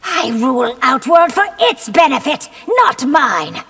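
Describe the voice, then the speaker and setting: Fierce young empress. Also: female voice, high-pitched, big pitch swings, measured pace, very noisy, exaggerated voice. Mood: commanding. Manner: commanding, indignant.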